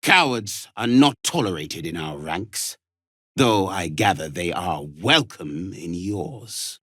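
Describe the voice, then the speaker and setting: An actor playing a harsh, imperious alien warrior instructor in a video game, scornful and given to clipped commands. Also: male voice, sits low, animated, slow, sharp tone, dry recording, studio quality, exaggerated voice. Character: harsh, contemptuous alien warrior instructor drilling young recruits. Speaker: combat trainer. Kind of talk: video game character acting.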